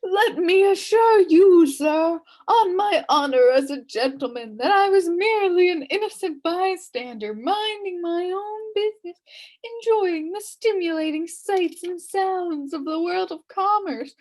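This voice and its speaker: A woman's voice: very high-pitched, lively intonation, measured pace, darkish tone, pitched above the performer's own voice. A woman voicing a nervous, pompous male humbug from a storybook, flustered, flowery and over-polite. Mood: nervous protesting.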